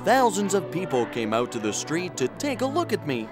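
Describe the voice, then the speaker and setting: An actor playing an earnest, polite traveler who tells his adventure in an expressive children's story style. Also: on the high side, normal speed. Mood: earnest storytelling. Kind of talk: scripted children's story narration in character. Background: music.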